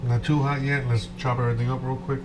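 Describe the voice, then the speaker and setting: A man's voice: mid-range voice, flat delivery, quick pace, over loud music, rough recording. A man in his thirties from Cuba chats casually and ramblingly as he hosts a home cooking vlog. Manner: easygoing, rambling. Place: home kitchen, indoors. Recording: noticeable background noise in a kitchen.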